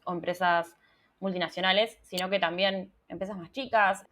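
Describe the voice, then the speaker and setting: A woman's voice: on the low side, fairly even intonation, normal speed, fairly bright tone. A young Argentine woman, a UX writer, answering interview questions in a casual, thoughtful way with many restarts. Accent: Argentine Spanish.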